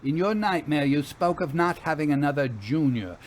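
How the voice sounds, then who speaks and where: A man's voice: on the high side, animated, moderate pace, slight background noise, pitched above the performer's own voice, lightly acted. A man voicing a commanding, urgent woman ghost investigator in a story, speaking in an insistent, formal way.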